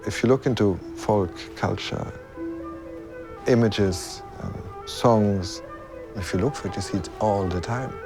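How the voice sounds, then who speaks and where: Guy chatting in an interview, low voice, music behind. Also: unhurried. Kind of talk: conversational interview.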